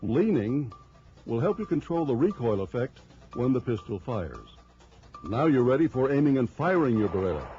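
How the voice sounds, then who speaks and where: A man narrates an instructional film in a relaxed, mellow voice of medium pitch. His delivery is formal and measured, explaining step by step. The soundtrack is dull and lo-fi like an early 1990s film, with music behind him.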